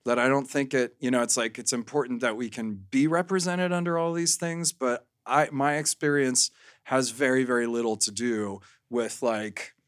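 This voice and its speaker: Man, podcast guest, candid chat, reflective, hedging, medium pitch, dry close sound. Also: steady tone, normal speed, no echo.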